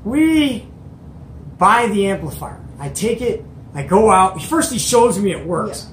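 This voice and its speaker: A middle-aged man telling a casual story to camera as host, in an animated, emphatic, colloquial way. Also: sits high, takes it slowly. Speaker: host of a CB radio show. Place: small indoor hobby radio room. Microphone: camera or phone at arm's length. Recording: roomy echo, background noise.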